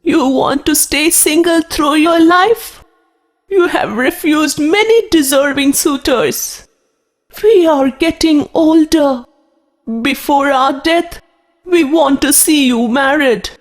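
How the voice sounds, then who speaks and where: A woman playing a concerned queen and mother in a children's cartoon, speaking deliberately. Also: sits high. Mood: worried, pleading. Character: worried queen, mother of a grown princess.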